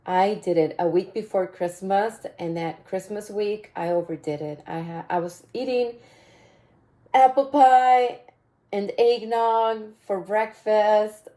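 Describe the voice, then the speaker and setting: A woman with a raspy voice hosting a casual solo podcast, upbeat and chatty, often repeating herself and laughing. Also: mid-range voice, takes it slowly, dark tone. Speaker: podcast host.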